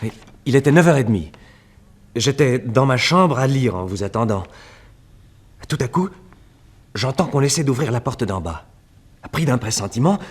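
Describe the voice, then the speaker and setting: An actor playing an anxious, polite householder in a vintage French radio drama, with hesitant theatrical delivery. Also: male voice, mid-range voice, breathy edge. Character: anxious-seeming householder, secretly scheming, coolly recounts a killing. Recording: 1960s radio drama recording.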